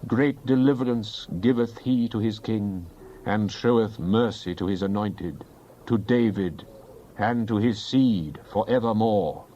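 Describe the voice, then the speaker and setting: A man's voice: on the low side, measured pace, mellow, dull audio, slight background noise. A man giving a dramatized Bible reading in a solemn, declamatory manner. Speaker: scripture reader.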